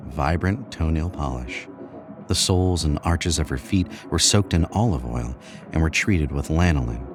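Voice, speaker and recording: A man with a very low voice narrates a Western tale. The delivery is scripted storytelling. Music is clearly heard behind him.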